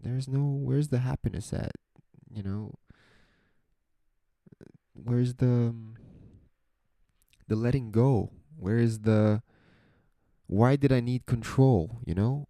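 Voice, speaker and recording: A young man with a soft, soothing voice, talking slowly and reflectively into a close microphone in a solo podcast. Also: mid-range voice, no echo. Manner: slow, introspective, rambling. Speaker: podcast host.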